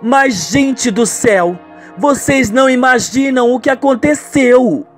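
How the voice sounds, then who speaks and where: A man's voice: extremely high pitch, takes it slowly, a bit bright, soft background music, voice pushed up, heavily acted. A man voicing a melodramatic, exclamatory young woman in a radio soap. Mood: gossipy. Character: young woman, tender, scheming or gossipy, melodramatic.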